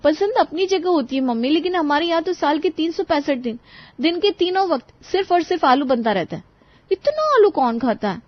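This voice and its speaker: A woman playing a polite but exasperated young daughter-in-law in a dramatized story, expressive in the way she speaks. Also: sits high, fairly quick, dry recording, muffled recording. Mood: exasperated complaint. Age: young adult.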